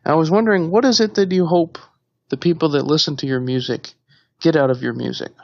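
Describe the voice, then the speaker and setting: A man hosting an interview, asking questions in a halting way and often rephrasing them. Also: on the high side, steady tone, vocal fry, no echo, lo-fi sound. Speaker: interviewer, host. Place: hotel room.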